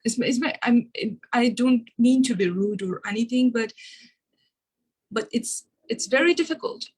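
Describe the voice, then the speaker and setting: A woman's voice: a little high, moderate pace, slightly rough. A woman from Afghanistan moderating a panel discussion, speaking hesitantly with many fillers and restarts. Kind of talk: panel discussion, personal remarks.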